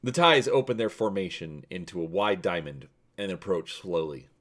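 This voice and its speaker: Low-pitched man reading a story dramatically. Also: animated, moderate pace, a bit bright. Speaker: host and narrator.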